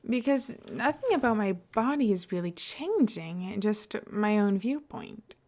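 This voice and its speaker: A woman's voice: medium pitch, expressive, measured pace, darkish tone, slight background noise, close to an everyday voice. Woman as a guarded, reluctant ordinary guy. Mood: thoughtful. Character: nervous, reluctant, guarded ordinary man with new powers.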